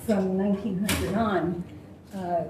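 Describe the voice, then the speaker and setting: A woman giving consecutive interpretation in English, hesitant with many fillers, her phrases trailing off softly. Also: mid-range voice, fairly even intonation, slow. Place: university lecture hall. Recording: distant echoey hall with faint noise.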